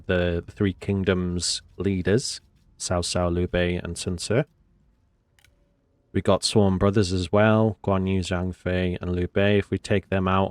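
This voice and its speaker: A man's voice: sits low, no echo. A man with a cold and a nasal voice, giving casual gameplay commentary in a rambling, hesitant way. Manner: rambling, hesitant with many fillers. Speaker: game commentator.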